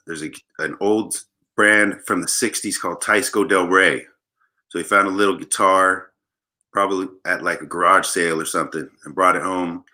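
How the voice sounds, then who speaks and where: Man from Los Angeles, low and very bright, playful and jokey guest. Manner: joking, playful, rambling storyteller. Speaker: guest bassist. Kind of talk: casual interview chat.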